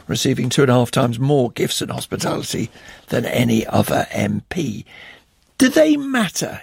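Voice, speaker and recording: A man with a gravelly, husky voice presents a live radio programme from the studio. His delivery is lively and he puts brisk, probing questions. The sound is close and dry. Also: on the high side, no echo.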